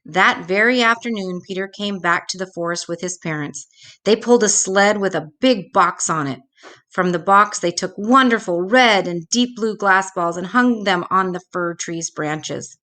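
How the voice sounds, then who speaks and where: A woman reading a children's storybook aloud expressively, with dramatic pauses. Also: medium pitch, pushed and bright.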